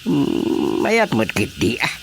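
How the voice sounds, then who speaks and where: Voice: medium pitch, huge pitch swings, slow, creaky, a little hiss or hum, heavily acted. An actor with an Ilocano accent playing an elderly, playful village father in a radio comedy drama, chuckling and drawing out interjections.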